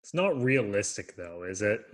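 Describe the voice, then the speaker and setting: A man's voice: lowish voice, very animated, moderate pace. A man reading the part of a glum teenage boy at a screenplay table read, sulky and self-deprecating. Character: glum teenage boy, a discouraged young writer.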